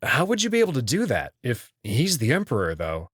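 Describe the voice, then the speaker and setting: A man's voice: mid-range voice, huge pitch swings, a little fast, fairly bright tone, breathy edge, no echo, clean studio sound, exaggerated voice. A man playing an anxious, skeptical young human hero who narrates his own story in a visual novel, in a plain, casual, wry way. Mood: skeptical, questioning. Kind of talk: first-person visual novel narration and dialogue.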